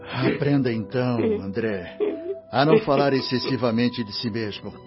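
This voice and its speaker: An actor playing a calm, kindly male spiritual mentor in a radio drama, gentle but firm and measured. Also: medium pitch, natural voice. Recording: dull radio drama audio with faint music.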